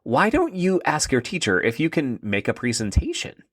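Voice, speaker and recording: A man's voice: on the high side, huge pitch swings, moderate pace, fairly bright tone, no echo, studio quality, pitched above the performer's own voice, heavily acted. A man voicing an affectionate, encouraging mother in a children's story. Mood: proud, encouraging. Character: warm, encouraging mother of twin boys.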